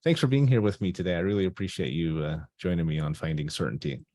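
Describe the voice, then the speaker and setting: Deep-voiced talk show host, dry sound. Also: male voice, quick pace, mellow, no room sound. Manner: enthusiastic, rambling, with many uhs and restarts. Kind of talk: talk radio interview. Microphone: video call.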